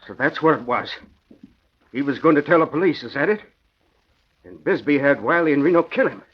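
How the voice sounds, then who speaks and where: A man playing a nervous, corrupt businessman in an old-time radio drama, halting, agitated and husky. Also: on the high side, lively intonation. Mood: agitated, pleading. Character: frightened, corrupt businessman confined to hospital. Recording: dull, lo-fi old radio recording.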